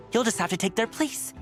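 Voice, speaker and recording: A man's voice: extremely high pitch, a bit bright. An actor voicing a brash, cocky young male dancer in a video game. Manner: brash, exclamatory. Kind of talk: game voice acting.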